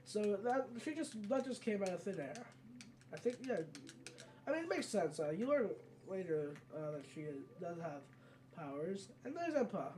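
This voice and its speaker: A casual let's play commentator with a soft, mellow tone. The talk is conversational and tends to ramble along. It is a home gameplay recording with faint noise behind the voice. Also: medium pitch, very animated, normal speed.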